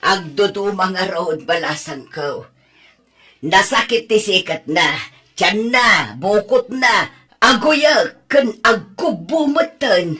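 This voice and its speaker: A woman plays an aging mother in a radio-style family drama, her voice husky and breathy, her words drawn out slowly. She sounds bright and thin at the top. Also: medium pitch, expressive, heavily acted. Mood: worried. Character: worried elderly mother-in-law. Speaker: mother-in-law of the husband's wife.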